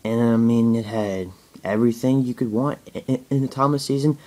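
A young man answering viewer questions in a casual home video, talking haltingly with a stutter, many fillers and restarts. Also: mid-range voice, flat delivery, warm tone. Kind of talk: casual Q&A talk to camera.

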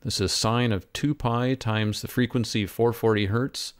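A man narrating a software tutorial as an instructor, in a measured, step-by-step way. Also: medium pitch, fairly even intonation, smooth, dry recording, clean studio sound. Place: close, dry voice-over for screen recording.

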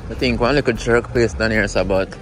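A man's voice: fairly low pitch, fairly even intonation, fast talker, fairly bright tone, soft background music. A young Jamaican man chatting to his viewers while filming street food stalls outdoors on a phone. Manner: chatty, addresses viewers as "people". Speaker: food vlogger. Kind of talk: casual street vlog talking to viewers. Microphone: handheld phone camera.

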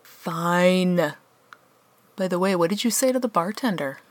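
Radio comedy part: skeptical reluctant heroine, sarcastic, lowish female voice woman acting, dry recording. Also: lively intonation, unhurried, no room sound. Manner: questioning, sarcastic. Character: skeptical, sardonic young woman sent as reluctant helper.

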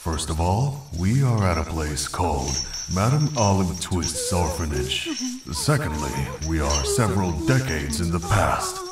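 Man voicing a deep, echoing ghost king. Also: sing-song, unhurried. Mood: solemn. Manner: formal, grave. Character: solemn, courteous ghost of a fallen villain king. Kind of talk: cartoon comic dub acting. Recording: echoing voice under loud music.

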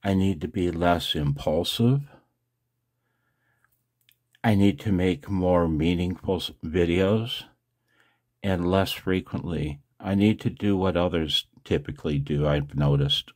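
Deep voiced guy, takes his time, rambling to his viewers, kinda self-deprecating. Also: slow, darkish tone. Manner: self-deprecating, chuckles often. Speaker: ham radio video channel host. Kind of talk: casual rambling monologue to viewers.

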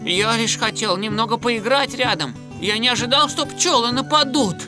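A woman voicing a naive, playful, easily scared young tow truck in a children's cartoon dub, excitable and whimpering. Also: fairly high pitch, moderate pace, music in the background, close to an everyday voice. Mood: sheepish.

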